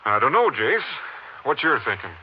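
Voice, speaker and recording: An actor playing a gruff Texas Ranger captain who questions skeptically, in 1950s radio drama style. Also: male voice, medium pitch, big pitch swings, normal speed. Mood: skeptical, curious. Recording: muffled old 1950s radio recording.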